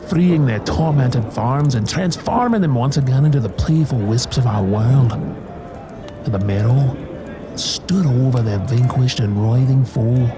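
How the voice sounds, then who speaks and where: Tavern bard, played by a man: mid-pitched, grandiose, florid heroic storytelling with music clearly underneath. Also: natural voice. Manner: dramatic, florid, deliberate storytelling. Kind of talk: fantasy tale-telling. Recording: music behind the voice.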